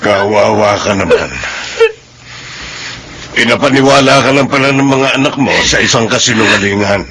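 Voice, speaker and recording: A man's voice: lowish voice, normal speed, long pauses, a bit bright, slight background noise, natural voice. An actor playing a concerned older brother who consoles his sister in a radio drama.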